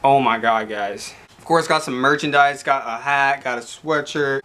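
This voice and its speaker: A young man, tired and going hoarse, chatting excitedly to camera at home, rambling and calling out to his viewers. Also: medium pitch, normal speed. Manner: excited, rambling, repeats "guys". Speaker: vlogger. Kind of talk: casual talk to camera. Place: small room indoors, at home.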